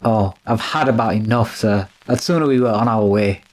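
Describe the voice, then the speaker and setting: A man's voice: lowish voice, moderate pace, lightly acted. Man playing John, a fretful manservant. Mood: weary, complaining. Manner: fretful, whimpering, deferential. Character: nervous, complaining, deferential manservant John. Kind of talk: classic radio drama acting.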